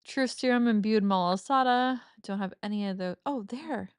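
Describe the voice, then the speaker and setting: A woman's voice: mid-range voice, moderate pace, clean tone, no echo. Stuffy-nosed gamer girl chatting away, giggly, dry close sound. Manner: chatty, giggly, self-mocking, cozy. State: slightly stuffy nose. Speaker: gaming streamer. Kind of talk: casual let's-play commentary.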